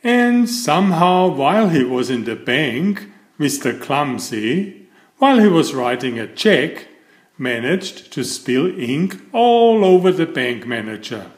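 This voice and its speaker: A man reading a children's picture book aloud as storyteller, with deliberate, emphatic phrasing. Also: fairly high pitch, big pitch swings, roomy sound.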